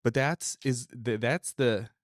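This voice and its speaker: A man's voice: mid-range voice, slow, dry recording, clean studio sound. A man co-hosting a casual podcast discussion, rambling and thinking aloud as he goes. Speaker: podcast co-host. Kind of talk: casual discussion of an old radio serial.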